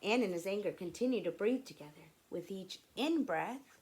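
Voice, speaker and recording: A woman teacher reading aloud to young children, explaining things gently in simple, instructive phrases. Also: medium pitch, animated, normal speed, a little hiss or hum, low-quality recording.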